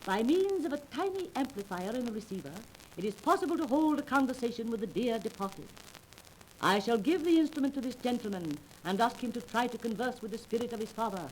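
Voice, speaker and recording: An actress playing a commanding, mysterious fake spirit medium, grandiose and solemn, in a 1930s radio drama recording. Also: female voice, on the high side, expressive, a little fast, a little hiss or hum, natural voice, poor audio. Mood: solemn, ceremonious.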